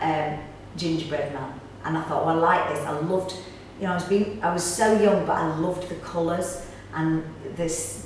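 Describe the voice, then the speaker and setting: A middle-aged woman, chatting informally in a casual interview. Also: low-pitched. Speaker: pantomime actor. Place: small indoor room, seated on sofa. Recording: echoey room, distant mic, faint noise.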